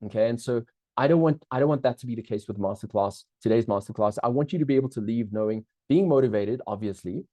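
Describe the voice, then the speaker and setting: Mellow-voiced South African man presenting. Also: medium pitch, fast, no room sound. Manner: upbeat, chatty, many restarts, keeps checking in with the audience. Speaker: business coach hosting a live webinar. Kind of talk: live online masterclass presentation.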